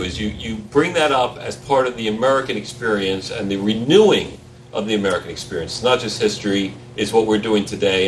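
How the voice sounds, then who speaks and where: A man's voice: medium pitch, recorded from a distance, slight background noise, poor audio. Accented man lecturing a room. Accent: foreign accent, not native English. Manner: animated, repeats himself, addresses the audience with questions. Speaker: trial lawyer teaching a seminar. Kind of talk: lively seminar talk to a room.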